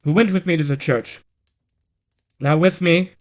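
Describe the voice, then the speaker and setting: A man performs a young king's son from a storybook, stern and commanding. The voice sits fairly high. The phrasing is formal, archaic and insistent. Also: unhurried, lightly acted. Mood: earnest.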